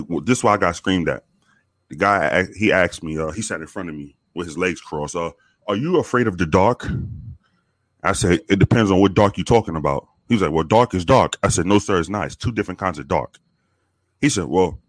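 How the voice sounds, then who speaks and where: A man from the Bronx with a very deep voice, telling profane, animated stories with many restarts in a casual interview. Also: no echo. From the Bronx, New York. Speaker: guest, former police officer.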